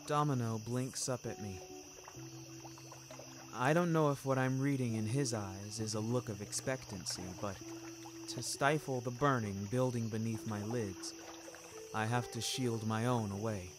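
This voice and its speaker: Male narrator for fiction podcast: unhurried, deliberate, literary delivery, average pitch, clear music bed. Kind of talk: scripted first-person story narration.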